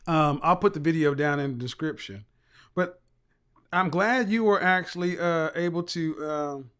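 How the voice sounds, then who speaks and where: A man's voice: a little high, normal speed, fairly bright tone, smooth. A man hosting a casual live-stream chat, blunt and rambling, talking. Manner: blunt, rambling, with frequent restarts and profanity. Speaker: livestream host and image consultant.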